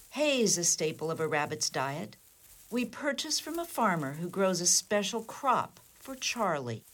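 A woman playing a defiant, flippant suspect in a comedic radio play, wry and haughty with clipped retorts. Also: on the low side. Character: defiant, flippant, sardonic woman under police questioning.

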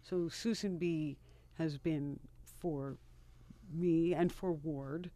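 A man from Rochester hosting a radio interview, asking curious, halting questions. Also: a little high, fairly even intonation, mellow. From Rochester, New York. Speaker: radio host. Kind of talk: interviewing guests.

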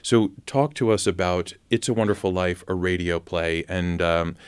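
A man hosting a conversational interview, asking measured, inquisitive questions as he goes. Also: low voice, steady tone, smooth, no echo.

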